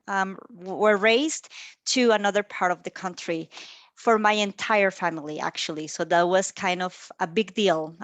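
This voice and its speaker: A woman in her thirties, from Costa Rica, talks through slides for colleagues on a video call. Her pitch sits mid-range for a woman, and she fills pauses with a lot of ums. The sound is dry and close, with no room around it. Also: no room sound. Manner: warm, chatty, filler-heavy with frequent ums. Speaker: guest speaker. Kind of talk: online presentation to colleagues.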